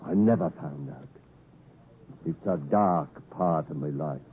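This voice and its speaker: An actor playing a rough, doting old escaped convict in a 1950s radio drama, with a husky, sentimental delivery. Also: male voice, a bass voice, a little hiss or hum. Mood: mournful. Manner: sentimental, drawn-out fond remarks. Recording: muffled 1950s radio broadcast.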